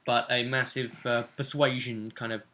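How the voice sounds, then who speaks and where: A man's voice: mid-range voice, little pitch movement, normal speed, pushed and bright. A man hosting as game master, casually recapping a tabletop game and hesitantly piecing events together as he goes.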